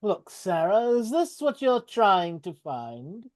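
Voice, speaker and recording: Man voicing a goblin king villain, slow gloating drawl, lively pitch swings, dry close sound. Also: no room sound, exaggerated voice. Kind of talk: live dramatic reading.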